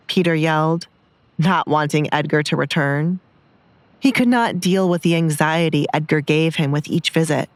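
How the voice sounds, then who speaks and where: Low-pitched woman narrator, dry recording. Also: moderate pace, dry recording. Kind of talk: scripted dramatic story narration.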